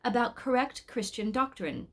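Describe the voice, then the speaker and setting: A woman voicing a patient male angel guide in a storybook, explaining things in a measured, instructive way. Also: a little high, lively intonation, pitched above the performer's own voice.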